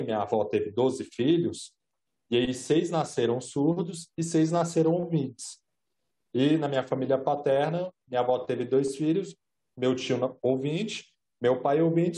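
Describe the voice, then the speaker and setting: A man whose first language is Brazilian Sign Language, a sign language interpreter speaking calmly in an interview while signing, with plain storytelling and filler tags. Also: on the high side. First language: Brazilian Sign Language (Libras).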